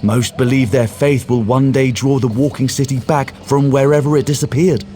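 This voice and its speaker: A male actor playing a skeptical, scholarly guide in a fantasy game, explaining in a measured, wry way. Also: medium pitch, steady tone, mellow, lightly acted. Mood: matter-of-fact, wry. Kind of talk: video game quest dialogue.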